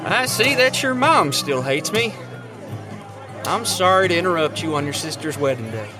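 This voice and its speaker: An earnest young suitor played by an actor in an audio drama. His voice sits high for a man and moves up and down freely, sheepish and devoted. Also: sing-song, normal speed, music in the background, crowd noise. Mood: tentative.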